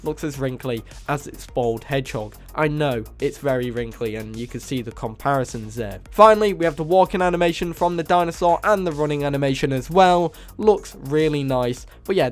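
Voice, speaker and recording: A man with a cold, sounding hoarse and nasal, enthusiastically narrating a game mod showcase as host of his channel. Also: medium pitch, fairly quick, hardly any pauses. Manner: enthusiastic, casual, repeats praise. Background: faint music.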